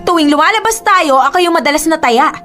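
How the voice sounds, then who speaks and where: A woman's voice: high voice, expressive, quick pace, a bit bright, soft background music. A woman with a Filipino accent acting an emotional, reproachful young girlfriend in a radio drama. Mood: angry, hurt. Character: hurt, indignant young girlfriend pleading with her boyfriend.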